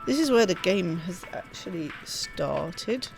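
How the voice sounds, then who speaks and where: A woman's voice: lowish voice, lively intonation, slow pace, gravelly, poor audio. A woman reading a game's story text aloud expressively, with casual spontaneous asides as she plays. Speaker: let's play host reading game text aloud. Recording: music behind the voice. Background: game music.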